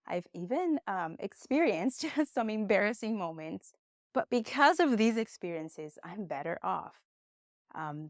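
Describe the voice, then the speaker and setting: A young woman, a Portuguese speaker, giving a conversational online English lesson with encouraging, expressive emphasis. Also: lowish voice, no echo, studio quality. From Brazil. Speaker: English teacher.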